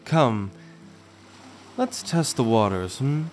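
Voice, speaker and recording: A man playing a confident, affectionate merman prince in a gentle, teasing, courtly manner for an ASMR roleplay. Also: mid-range voice, lively intonation, slow pace. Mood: playful, fond. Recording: music under the voice.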